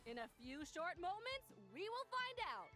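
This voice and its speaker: A woman playing an energetic, theatrical tournament announcer in an anime-style video game, proclaiming to an arena. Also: very high-pitched, normal speed, over music. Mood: grand, excited.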